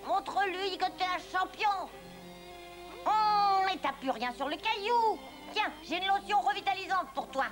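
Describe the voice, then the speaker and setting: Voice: extremely high pitch, animated, unhurried. An actor voicing a cocky, scheming little cartoon duck with a mocking, needling, theatrical delivery. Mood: gleefully mocking. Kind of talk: French-dubbed cartoon acting. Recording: old cartoon soundtrack with loud music.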